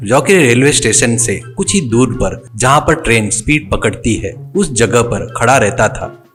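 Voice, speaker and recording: A man's voice: medium pitch, animated, a little slow, fairly bright tone, soft background music. A man reading scripted narration for a cartoon story.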